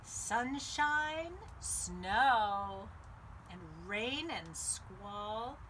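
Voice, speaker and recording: A middle-aged woman hosting a children's storytime, reading a picture book aloud in a lively, sing-song way with deliberate phrasing. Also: a little high, a little hiss or hum, poor audio. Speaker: library storytime host. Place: outdoors on a home patio.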